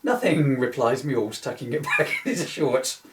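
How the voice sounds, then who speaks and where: A man's voice: medium pitch, lively intonation, moderate pace, background noise. Man reading informally, distant and echoey. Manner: rambling, often breaking into giggles at own jokes. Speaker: author reading his parody book. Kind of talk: informal comic book reading with asides. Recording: roomy echo, distant microphone.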